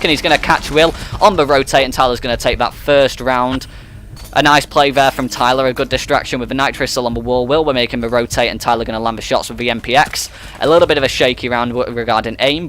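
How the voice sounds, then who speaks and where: Man giving rapid esports commentary. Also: medium pitch, a little hiss or hum. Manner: rapid, energetic running commentary. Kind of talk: play-by-play game commentary.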